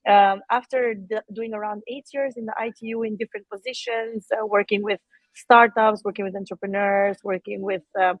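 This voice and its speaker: A woman's voice: medium pitch, fairly even intonation, dry recording, poor audio. Woman, dry close sound. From Albania. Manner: measured, with frequent filler sounds and restarts. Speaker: guest speaker. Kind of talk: career story in a conversational interview.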